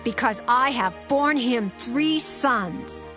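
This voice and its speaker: Woman cast as earnest biblical wife, high voice, soft music underneath. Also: animated, slow. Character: Leah, rivalrous wife longing for her husband's love. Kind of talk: dramatized scripture reading. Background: soft background music.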